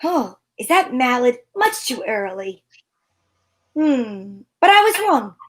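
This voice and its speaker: A woman's voice: fairly high pitch, very animated, slow pace, a bit bright, heavily acted. A woman playing a feisty, wry heroine in an old-time radio show, with sassy, theatrical delivery. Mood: wry narration. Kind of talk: hobby old-time radio acting with first-person narration.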